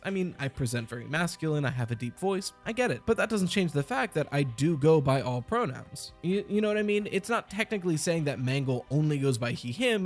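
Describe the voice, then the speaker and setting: A man hosting a casual commentary on video game lore, chatty and nitpicky as he picks apart details. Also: fairly high pitch.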